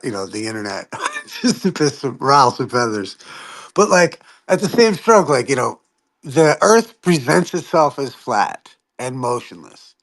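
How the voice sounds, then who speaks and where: A man's voice: medium pitch, a bit bright. A man debating casually on an online call, rambling, swearing and poking fun at himself. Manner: rambling, profane, self-deprecating, laughs often. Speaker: flat-earth debater. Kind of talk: heated online debate chat.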